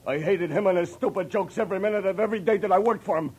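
An actor playing a resentful, murderous secretary in an old radio drama, with a sneering, sarcastic manner. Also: male voice, high voice, rapid-fire, a little mellow, husky. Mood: bitter, enraged. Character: resentful murderous male secretary, cornered and menacing. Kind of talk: 1940s radio drama acting. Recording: noisy old radio recording.

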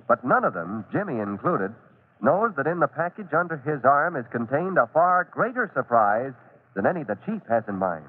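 A man's voice: mid-range voice, sing-song, normal speed, slightly rough. A man narrating an old-time radio drama in a scripted 1940s announcer style, dramatic and emphatic. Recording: tinny, noisy old radio recording.

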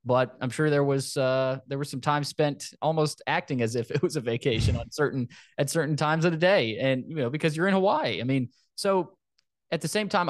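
A man hosting a sports podcast, talking casually through a football preview in a halting way, repeating words and phrases. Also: on the high side, dry recording, studio quality.

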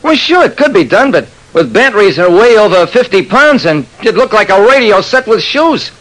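High, skeptical store clerk. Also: male voice, very animated, fairly quick, a bit bright, low-quality recording. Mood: incredulous. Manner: incredulous, wisecracking. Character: flippant, skeptical male radio parts store clerk. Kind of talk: old-time radio character acting. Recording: vintage radio broadcast sound.

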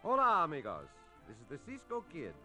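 An actor playing a gallant, courteous Mexican cowboy hero with a Mexican accent in an old-time Western radio drama, speaking in measured, formal phrases. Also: male voice, on the high side, wildly swooping pitch, pushed and bright, soft background music, heavily acted. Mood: dramatic narration.

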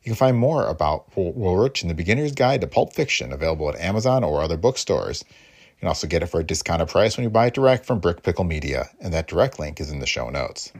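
A man with a husky, gravelly voice reading a scripted podcast introduction as its host. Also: sits low, very animated, fast talker.

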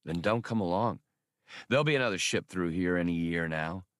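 A man's voice: low voice, fairly quick, a bit bright, no echo. A man voicing a brash, stubborn starship captain in an audiobook, with a blunt, argumentative manner. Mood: curt. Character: brash, stubborn male space pilot captain.

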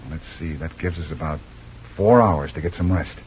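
An actor playing a bold, determined American adventure hero, plain-spoken and commanding, in a 1940s radio serial. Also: male voice, rumbling low, moderate pace, darkish tone. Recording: muffled old radio, faint noise.

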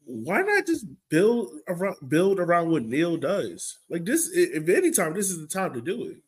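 A man's voice: sits high, wildly swooping pitch, normal speed, slightly rough. A man co-hosting a sports fan podcast, talking casually and informally in an exasperated, searching way. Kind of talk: casual soccer fan discussion.

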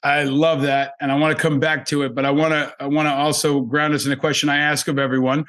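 A man's voice: on the high side, level tone, fast, a bit bright. Enthusiastic casual male podcast host. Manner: informal, effusive, swears. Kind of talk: casual interview chat.

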